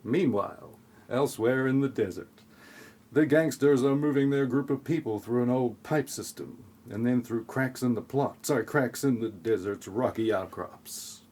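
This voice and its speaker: A man narrating a scripted audio play in a tongue-in-cheek, deadpan way with sarcastic asides. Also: medium pitch, normal speed.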